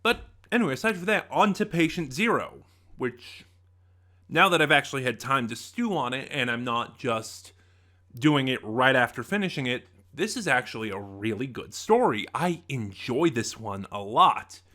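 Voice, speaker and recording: A man hosting an unscripted review channel, talking in a chatty, animated way with rambling asides. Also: mid-range voice, normal speed. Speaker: podcast reviewer.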